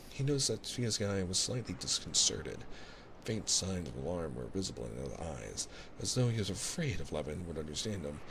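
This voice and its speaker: A man reading a literary bedtime story in a measured, soothing ASMR style, close to a binaural microphone. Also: low voice, vocal fry. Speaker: audiobook-style reader, ASMR host. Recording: noisy ambience of recorded ocean waves. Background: ocean sounds.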